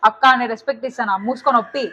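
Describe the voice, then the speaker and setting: A woman's voice: on the high side, fairly even intonation, rapid-fire, over loud music. A young woman, chatting casually in a pleading, coaxing way. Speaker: prank caller. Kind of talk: casual pleading phone-prank conversation. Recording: muffled, very noisy location audio.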